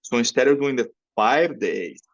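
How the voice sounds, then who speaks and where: A man's voice: medium pitch, expressive, moderate pace, creaky, no echo, highs cut off. A man from Brazil with a Brazilian accent and non-native English phrasing, explaining his research as an interview guest. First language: Portuguese. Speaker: podcast guest, professor and researcher.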